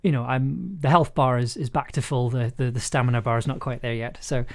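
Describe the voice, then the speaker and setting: A man with a raspy, throaty voice, talking casually and rambling through a livestream while producing an audio drama. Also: mid-range voice, fairly even intonation, fairly quick. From United Kingdom. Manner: rambling, many restarts and fillers. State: raspy, throaty voice after illness. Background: music.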